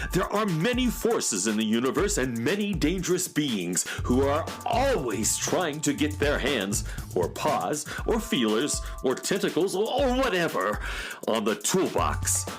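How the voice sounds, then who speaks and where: A man's voice: on the high side, very animated, a little slow, faint music behind. A man playing an eccentric handyman of the galaxy, part scientist and part magician, fussy and theatrical, in a children's radio drama. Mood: grave.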